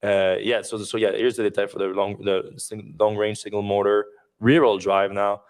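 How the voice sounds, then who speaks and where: Low, flat-voiced man on a podcast. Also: normal speed, clean tone. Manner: rambling, many fillers and restarts. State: losing his voice. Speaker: podcast host. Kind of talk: casual news discussion podcast.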